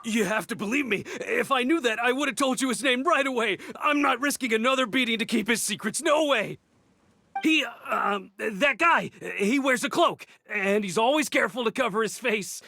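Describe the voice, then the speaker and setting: An actor playing a frightened, cowardly desert bandit in a video game, stammering and pleading. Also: male voice, very high-pitched, normal speed, vocal fry, bright, forceful tone, slight background noise, heavily acted. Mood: desperate pleading.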